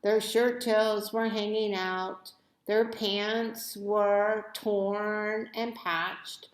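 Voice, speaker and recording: A woman reading a children's story aloud with expressive, deliberate phrasing in a roomy, echoey recording. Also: mid-range voice. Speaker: storybook reader.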